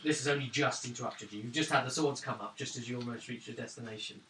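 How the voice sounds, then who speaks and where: A man with a mid-pitched voice runs a tabletop role-playing game as game master. He narrates haltingly, repeating words. He sounds roomy and away from the microphone, with clear noise behind. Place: indoors around a gaming table. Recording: roomy, distant, noisy table recording.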